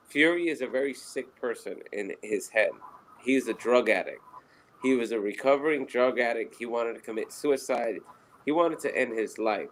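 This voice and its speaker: A man's voice: medium pitch, a bit bright, a little hiss or hum, rough recording. A man with a Puerto Rican accent, co-hosting a podcast in casual, rambling, profane chat. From Puerto Rico. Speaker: podcast co-host.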